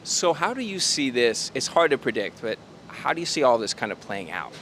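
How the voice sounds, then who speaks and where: A man's voice: medium pitch, very animated, moderate pace, fairly bright tone, slight background noise. A man interviewing a guest outdoors, agreeable and easygoing, nodding along with short affirmations. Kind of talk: casual street interview. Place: city street outdoors.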